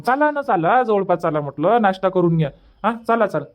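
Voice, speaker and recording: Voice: medium pitch, big pitch swings, brisk, natural voice. A performer voicing a polite, busy young village man in a Marathi cartoon comedy, with a Varhadi accent and a respectful, coaxing manner. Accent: Varhadi Marathi from Vidarbha.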